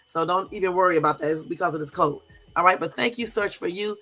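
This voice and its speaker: A middle-aged woman, hoarse from a cold, hosting a casual livestream and telling stories straight to her viewers. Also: fairly low pitch, fast, sharp tone. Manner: chatty storyteller, talks straight to viewers. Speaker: YouTube livestream host.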